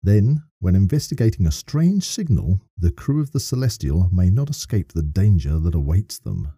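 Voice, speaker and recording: Very dark, husky, animated male podcaster. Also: low voice, normal speed, no room sound, clean studio sound. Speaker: podcast co-host. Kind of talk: casual podcast chat.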